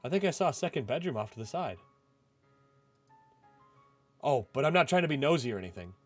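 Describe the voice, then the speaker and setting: Man voicing a lifted-pitch, eager young woman. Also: very animated, fairly quick, faint music behind. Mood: curious, teasing. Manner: eager, softly insistent, apologetic. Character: cheerful, caring young woman, shy but forward.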